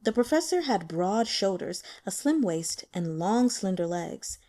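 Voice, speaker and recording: Female audiobook narrator, mid-range voice, scripted fiction read with expressive, dramatic phrasing. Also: mid-range voice, unhurried. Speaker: audiobook reader.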